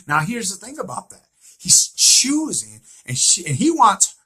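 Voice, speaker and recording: A man's voice: high voice, huge pitch swings, measured pace, bright, forceful tone, husky. A man giving an informal recap and theory commentary on a show, emphatic and repetitive, often posing rhetorical questions. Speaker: fan commentator on a TV series.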